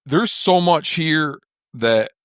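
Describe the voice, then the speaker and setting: A man's voice: on the high side, expressive, takes it slowly, fairly bright tone, smooth, no room sound. A man hosting a casual podcast, thinking aloud with dry self-deprecating humor. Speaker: podcast host.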